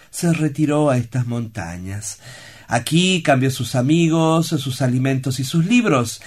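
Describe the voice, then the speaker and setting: A man plays an earnest sanatorium director in a radio drama. His slightly high voice is solemn and eloquent, and he declaims like a lyrical storyteller. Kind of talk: theatrical radio play acting.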